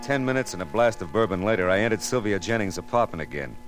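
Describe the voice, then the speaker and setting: An actor with an American accent playing a tough, wry private detective in vintage radio drama, speaking in a dry, clipped, sardonic way. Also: male voice, lowish voice, lively intonation, quick pace, over music, natural voice, low-quality recording. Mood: world-weary narration. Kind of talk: 1940s-50s American radio detective acting.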